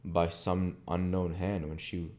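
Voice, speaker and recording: A man with a very low, dark and mellow voice reads as an audiobook narrator. His delivery is measured and literary, with a soft-edged tone. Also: flat delivery. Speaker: audiobook reader, channel host. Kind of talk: scripted narration of a classic novel.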